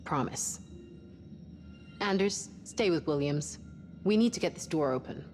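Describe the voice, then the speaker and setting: A woman's voice: deep voice, expressive, unhurried, a little mellow. A woman playing a caring, lightly teasing ship's doctor in a sci-fi game. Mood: calm, reassuring. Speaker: actor in a video game. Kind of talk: cinematic sci-fi game acting. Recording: long hall reverb, faint music behind.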